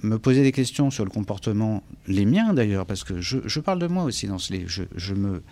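A man's voice: on the low side, sing-song, a little fast, mellow. A man from France with a French accent, speaking reflectively as an interview guest, often searching for words and repeating himself. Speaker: interview guest, English teacher and author.

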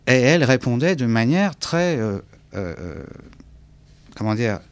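A man's voice: mid-range voice, very animated, unhurried. A man from France with a French accent, speaking reflectively as an interview guest, often searching for words and repeating himself. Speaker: interview guest, English teacher and author.

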